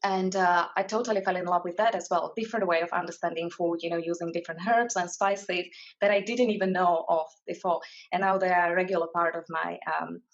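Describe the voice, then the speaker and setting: Slovak woman guest, kinda low flat voice, lots of ums, muffled lo-fi audio. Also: brisk. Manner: thoughtful, many fillers and restarts. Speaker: guest, mindfulness and career coach. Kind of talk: casual interview answers.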